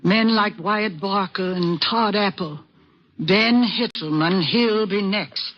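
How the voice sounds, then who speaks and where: A woman's voice: mid-range voice, slow. An actress playing a stern, anguished older frontier woman with a rural American accent, grave and plain-spoken, in an old-time western radio drama. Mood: bitter, resolute. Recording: dull vintage radio recording.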